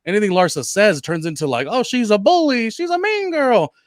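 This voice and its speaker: A man hosting a casual reality TV recap podcast, talking in an animated way and hedging his points with many fillers. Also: sits high, fairly quick, no room sound, studio quality. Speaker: podcast host.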